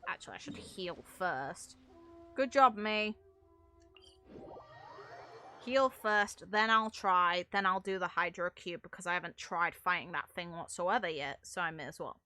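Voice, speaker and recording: Bright-voiced woman streaming a game. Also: mid-range voice, dry recording. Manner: rambling, wry, mildly sweary. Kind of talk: casual live stream chat while playing a video game. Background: game music.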